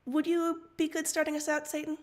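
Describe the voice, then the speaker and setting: A woman's voice: sits high, completely flat delivery, a little fast. A woman co-hosting a casual story-reading livestream, prone to giggling, with the start of a throat cold. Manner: giggly, breaks into laughter often. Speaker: co-host of a story-reading stream.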